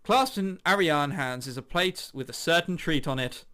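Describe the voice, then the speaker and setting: A man's voice: a little high, lively intonation, moderate pace, pushed and bright, clean tone. A man hosting a gaming channel, reading a visual novel aloud in a theatrical, jokey way with ad-libbed commentary.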